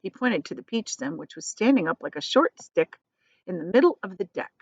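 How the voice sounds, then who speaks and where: A woman narrating a children's storybook aloud like a teacher, with clear storytelling delivery. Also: mid-range voice, lively intonation, a little fast, no room sound, lo-fi sound.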